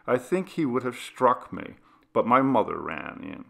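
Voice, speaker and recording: A man reading a ghost story aloud, in a slow, measured and grave way. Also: medium pitch, big pitch swings, vocal fry, fairly bright tone. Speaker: reader. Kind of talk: scripted ghost story narration.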